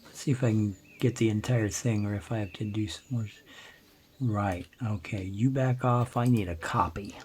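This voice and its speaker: An older man with a naturally low voice, hosting a gameplay video and musing to himself in unhurried, relaxed commentary as he builds. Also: slight background noise. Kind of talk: relaxed let's-play commentary.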